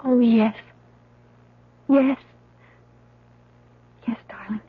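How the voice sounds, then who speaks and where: A woman's voice: fairly high pitch, fairly even intonation, very slow, breathy edge, no echo, exaggerated voice. Young woman in love, radio play, tender, very airy voice, narrow phone-like broadcast tone. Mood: yearning. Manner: tender, earnest. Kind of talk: 1970s radio drama acting. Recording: tinny, dry old radio broadcast.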